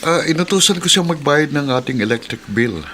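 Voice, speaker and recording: A slacker filipino office messenger, insecure, sharp highish voice, radio drama. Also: male voice, lively intonation, a little fast. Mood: defensive. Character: insecure, work-shirking male office messenger.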